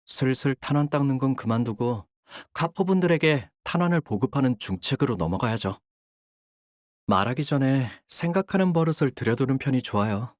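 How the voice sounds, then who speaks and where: A man's voice: medium pitch, no room sound, studio quality, lightly acted. Man acting young syndicate soldier, widely swinging intonation. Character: young male syndicate soldier, dutiful, polite, coldly menacing. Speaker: game character voice actor. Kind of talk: acted character voice lines.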